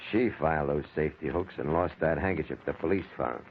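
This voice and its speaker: Deep-voiced, cold racketeer, lo-fi radio. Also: male voice, fast. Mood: cold menace. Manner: tough, blunt, matter-of-fact. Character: cold, confident male racketeer, casually menacing. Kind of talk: old-time radio crime drama acting. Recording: lo-fi, noisy old radio recording.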